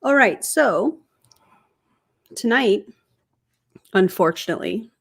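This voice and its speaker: A young adult woman hosting a casual livestream, a little congested as she gets over being sick, chatty and quick to laugh. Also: mid-range voice, animated, gravelly, slightly rough. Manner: chatty, laughs easily, talks to chat. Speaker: streamer and commentator.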